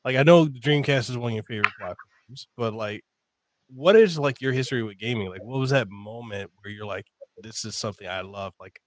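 A man's voice: medium pitch, fairly quick, a little hiss or hum. Rambling male podcast host from Samoa. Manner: loose and rambling, full of "like" and restarts. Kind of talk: casual interview chat.

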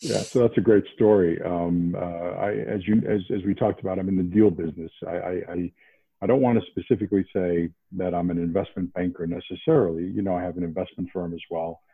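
A man from around Boston, a podcast guest, talking in a casual animated way with many restarts. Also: deep voice, brisk, creaky, husky, no echo. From New England, outside Boston. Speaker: podcast guest, investment banker. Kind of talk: casual interview talk. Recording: tinny phone-like line.

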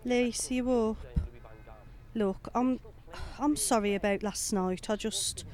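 A woman acting high-voiced mum, exasperated. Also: takes it slowly, a little mellow. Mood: apologetic, weary. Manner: scolding, colloquial. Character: nagging, scolding, exasperated British mother. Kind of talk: fan-made audio drama acting.

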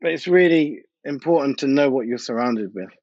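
A man's voice: medium pitch, moderate pace, a little mellow, dry recording. British man chatting, slightly muffled sound. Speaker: DJ and music producer, interview guest. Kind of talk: casual interview chat.

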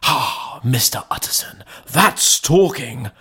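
A man voicing an agitated, deferential elderly butler in an audiobook, loyal and frightened, speaking haltingly and emphatically. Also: medium pitch, expressive, husky, voice pushed up. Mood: eager, resolute. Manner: halting, emphatic, servile address. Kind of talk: dramatized audiobook reading.

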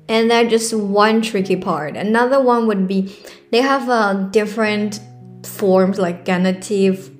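A young woman from China with a Chinese accent hosts her own podcast in a casual, earnest way, full of fillers and restarts. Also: medium pitch. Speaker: podcast host. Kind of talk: casual solo podcast talk.

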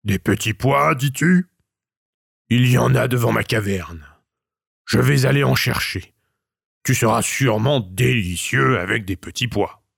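A man doing a gruff hungry troll. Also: unhurried, a bit bright, no room sound, clean studio sound, full character voice. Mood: menacing. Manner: booming, gruff. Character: huge hideous hungry male troll.

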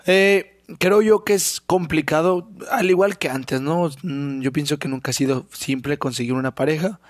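Hoarse Mexican man, sick throat, cursing. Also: on the high side, moderate pace, no echo, studio quality. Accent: Mexican Spanish. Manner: rambling and profane, clears his throat often. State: sore, hoarse throat from illness. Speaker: podcast host. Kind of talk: casual solo podcast monologue.

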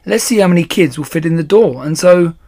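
A man's voice: on the high side, animated, a little fast, mellow, voice pushed up. A man voicing a whiny, pestering schoolboy in a children's storybook. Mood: sheepish. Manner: insistent, repetitive questioning. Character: young schoolboy, whiny and pestering little brother.

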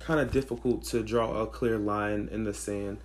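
A hoarse young man with a raspy voice, co-hosting a podcast in slangy casual chat. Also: on the low side, moderate pace. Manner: relaxed, slangy, thinks aloud with fillers. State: hoarse, losing his voice. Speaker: podcast co-host.

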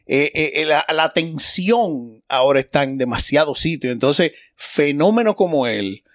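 A man's voice: a little high, a little slow, dry recording, studio quality. Teasing man in his fifties. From Dominican Republic. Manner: teasing and animated, musing aloud. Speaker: guest. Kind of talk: casual podcast interview.

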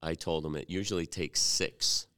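A man from Cleveland hosting a casual church podcast interview, joking and cutting in with quick affirmations. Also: sits low, fairly even intonation, fairly bright tone, clean tone. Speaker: podcast host.

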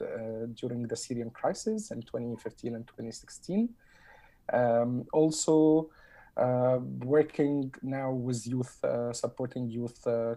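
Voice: mid-range voice, mellow. A speaker from Egypt with an Egyptian Arabic accent and Arabic as a first language, talking as a roundtable guest, hesitant with many fillers and a dry, sarcastic humor. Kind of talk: casual discussion.

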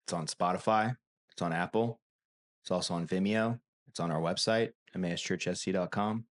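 A soft-spoken man chatting casually on a church podcast, quiet and almost whispery. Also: deep voice, moderate pace. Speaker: church creative director. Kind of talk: casual chat.

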